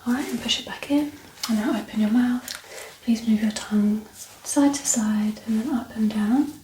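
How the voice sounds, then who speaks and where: A woman speaking softly and gently, often near a whisper, as a doctor in an ASMR medical exam roleplay. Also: a little high, normal speed. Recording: roomy echo, distant mic, clear background noise.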